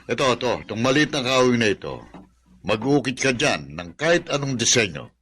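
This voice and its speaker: Middle-aged shop-owner uncle, encouraging, forceful bright tone, radio drama, faint noise. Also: male voice, mid-range voice, big pitch swings, a little fast. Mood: businesslike.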